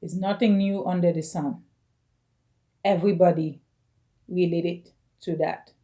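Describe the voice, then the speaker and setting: Slow-speaking woman in her forties. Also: on the low side, steady tone, mellow. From Caribbean. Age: 40s. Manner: slow, halting, phrase by phrase. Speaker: vlogger. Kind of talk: personal video message.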